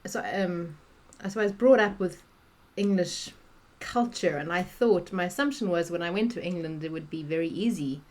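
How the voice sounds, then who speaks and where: A woman's voice: fairly low pitch, moderate pace, few pauses, a little mellow. A middle-aged South African woman, a guest coach chatting on a podcast, talking freely with many restarts and fillers. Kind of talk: podcast interview conversation.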